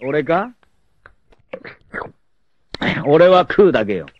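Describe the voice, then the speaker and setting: A man playing a cocky, free-spirited, fearless martial-arts warrior in a dramatic 1980s anime, with theatrical, rough swagger. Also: on the high side, very animated, normal speed, no echo, dull audio. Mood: teasing, amused.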